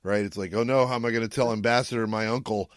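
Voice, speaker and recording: Low-voiced man chatting as podcast co-host. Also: fairly even intonation, fast, no room sound, studio quality. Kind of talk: casual recap chat about retro TV sci-fi.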